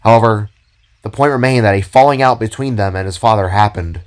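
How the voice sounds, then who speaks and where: A man's voice: lowish voice, brisk. A man reading scripted fiction as the storyteller, narrating the scenes and characters. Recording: background music under the voice. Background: music.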